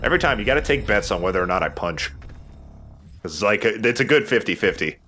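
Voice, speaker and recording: A man's voice: lowish voice, animated, fast, pushed and bright. A man hosting a let's play, chatting casually over his game with self-deprecating banter. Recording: clear background noise. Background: game sound.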